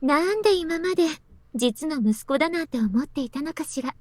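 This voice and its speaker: A teasing mom in a visual novel, high voice, pretty quick, calm and composed. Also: female voice. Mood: calm, knowing. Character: composed, gently teasing mother of a teenage girl. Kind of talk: Japanese visual novel voice acting.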